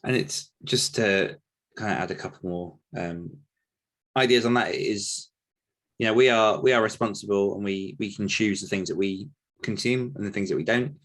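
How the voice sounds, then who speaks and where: A man's voice: on the low side, fairly bright tone. A man hosting a podcast discussion, speaking casually in a reflective, careful, diplomatic way. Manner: measured, diplomatic, hedging. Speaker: podcast host.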